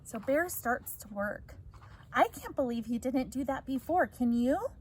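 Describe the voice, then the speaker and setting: A woman's voice: high-pitched, animated, unhurried, darkish tone, a little hiss or hum. A woman teacher reading a story aloud to children, explaining as she goes and prompting them with questions.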